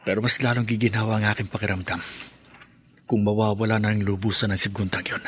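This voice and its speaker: A man's voice: lowish voice, flat delivery, quick pace, husky. A man acting a bitter, spoiled grown child with a scornful, theatrical delivery in radio melodrama. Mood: spiteful.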